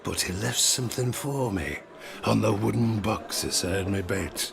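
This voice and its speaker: An actor playing a grizzled old sailor, gruffly telling children a dark bedtime tale in an audio drama. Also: male voice, on the low side. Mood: hushed, suspenseful. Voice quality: gravelly. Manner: theatrical, gruff, suspenseful storytelling with dramatic pauses.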